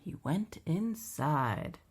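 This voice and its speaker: A woman reading a bedtime storybook aloud to her child as a mother, pausing for chatty asides and questions to engage the child. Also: low-pitched, very animated, slight background noise. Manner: pauses to prompt and engage the child.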